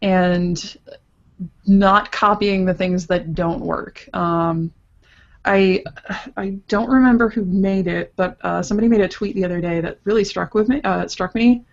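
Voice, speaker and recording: A woman's voice: mid-range voice, fairly even intonation, measured pace. A woman guesting on a casual podcast over an online call with dull, lo-fi audio, talking hesitantly. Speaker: podcast guest, comics artist.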